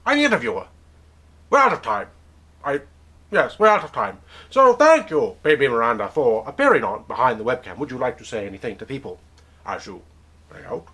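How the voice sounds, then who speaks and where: Male host, mock-formal put-on accent, rambling, lively pitch. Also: a little fast, pauses often, breathy edge. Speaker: interviewer. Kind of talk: comedic webcam interview.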